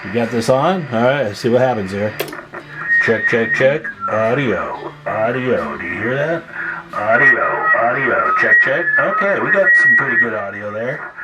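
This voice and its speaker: A man's voice: medium pitch, animated, unhurried, over loud music, low-quality recording. A man giving a casual, hands-on demonstration as a repair technician, informal and folksy, thinking aloud as he works indoors at a test bench. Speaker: radio repair technician and video host.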